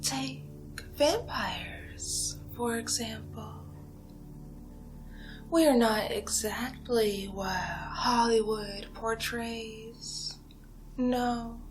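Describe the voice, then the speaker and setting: A woman playing an ancient, seductive female vampire in a whispered ASMR roleplay, taunting her prey in a breathy, theatrical way with drawn-out pauses. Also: on the high side. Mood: sly, musing. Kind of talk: ASMR roleplay monologue, soft-spoken and whispered. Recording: music behind the voice. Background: music.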